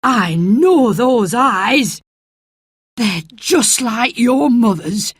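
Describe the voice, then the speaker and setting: A gruff old gardener, cross at first but kind underneath, as a woman reading the story puts him on. He speaks slowly and plainly. Dry, close storybook recording. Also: fairly high pitch, lively intonation, no room sound, pitched above the performer's own voice, full character voice.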